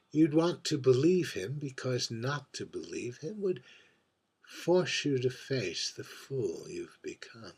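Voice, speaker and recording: A man performing a dramatic monologue as a lonely, heartbroken drag queen drinking at a bar, speaking in a halting, wistful, confiding way. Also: medium pitch, exaggerated voice. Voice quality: husky, breathy. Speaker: writer reading own monologue.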